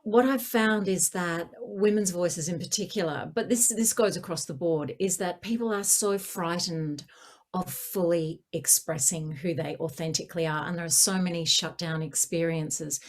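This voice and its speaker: A woman's voice: lowish voice, normal speed. A woman, a speaker coach, introducing herself in an articulate, assured way. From Australia. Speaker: speaker coach, former opera singer. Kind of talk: video panel introduction.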